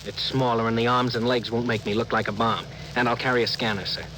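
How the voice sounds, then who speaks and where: An actor playing an eager, dutiful spaceship officer, brisk, earnest and deferential, in a 1950s radio drama. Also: male voice, mid-range voice, flat delivery, fairly bright tone, natural voice. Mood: eager, respectful. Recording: faintly noisy old radio broadcast.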